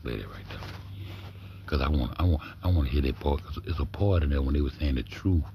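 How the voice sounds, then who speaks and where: A man hosting a livestream with a big deep voice, talking low and dropping to a near-whisper as he reads text aloud, then riffing in loose, profane commentary. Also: fast talker, gravelly, mellow, slight background noise. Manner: talks low, often drops to a near-whisper. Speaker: livestream host.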